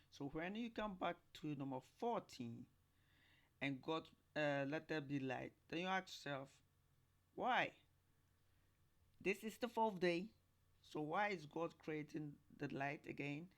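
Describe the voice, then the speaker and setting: Guy with a slightly high voice doing skeptical commentary, stops and starts, repeats himself, keeps questioning. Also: fairly bright tone. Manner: halting, repetitive, questioning. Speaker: host giving commentary. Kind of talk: informal skeptical scripture commentary.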